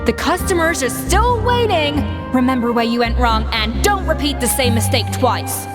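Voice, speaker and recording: Strict perfectionist chef, curt and dry, high voice, loud music behind. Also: female voice, animated, normal speed. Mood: stern. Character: stern perfectionist female head chef. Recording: game audio with loud music.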